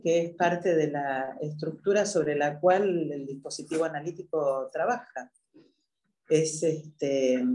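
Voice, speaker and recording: A woman moderating a public event, speaking in a measured way with hesitant filler pauses. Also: deep voice, darkish tone, slight background noise. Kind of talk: introductory talk at a public event. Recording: echoey room.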